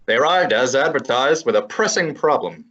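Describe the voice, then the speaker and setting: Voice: medium pitch, animated, moderate pace, a bit bright, close to an everyday voice. A student actor playing an exasperated young male assistant and narrator in a student radio drama, with a pleading, wisecracking manner. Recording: dull lo-fi recording.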